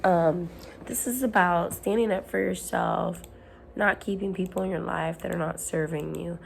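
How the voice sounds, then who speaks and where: A woman at home, hoarse while her voice comes back, giving an earnest, rambling motivational talk to camera with frequent ums and restarts. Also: fairly low pitch, steady tone, moderate pace, slight background noise. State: hoarse, recovering from lost voice. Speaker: self-help vlogger.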